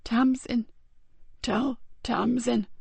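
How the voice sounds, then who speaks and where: A woman voicing a badly wounded male sergeant who speaks weakly, haltingly and breathily. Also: medium pitch, steady tone, gravelly, a little mellow, breathy edge, no room sound. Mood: faint.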